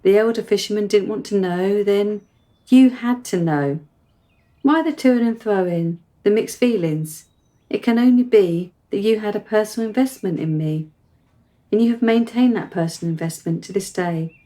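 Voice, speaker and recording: A woman's voice: mid-range voice, normal speed, mellow, close to an everyday voice. A woman playing a precocious, forthright young girl in a radio play, articulate and insistent in manner. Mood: probing. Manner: articulate, formal wording, insistent.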